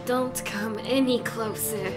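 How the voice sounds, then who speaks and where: A young villainess in an audio drama, acted by a woman, taunting and vengeful. Her voice is high and defiant. Music plays softly behind her. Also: fairly even intonation, takes it slowly. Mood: bitter, threatening. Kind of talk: fan audio drama acting.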